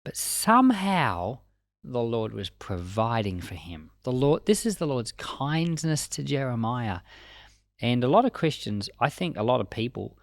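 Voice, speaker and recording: A man delivers Bible commentary in a conversational, colloquial style. His mid-pitched voice moves up and down in a lively way, and he slips into dramatized asides. The sound is fairly dry and close. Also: a little slow, gravelly, dry recording. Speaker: Bible teacher.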